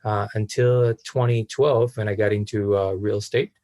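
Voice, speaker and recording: An Israeli-accented man in his thirties talks as an interview guest in a lowish, fairly flat voice. He speaks casually, in short halting phrases broken by frequent pauses. The sound is dry and close, with no room echo. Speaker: podcast guest, real estate entrepreneur.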